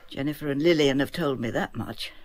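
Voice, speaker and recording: An actress playing a shrewd, caring older woman in a radio drama who questions a young man in a measured, gently firm way. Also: rumbling low, dry recording. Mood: probing.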